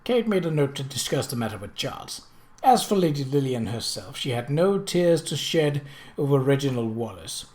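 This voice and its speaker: A storyteller reads a period mystery novel in a formal, expressive way. The voice sits at a middle pitch, between low and high. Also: normal speed. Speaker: audiobook reader.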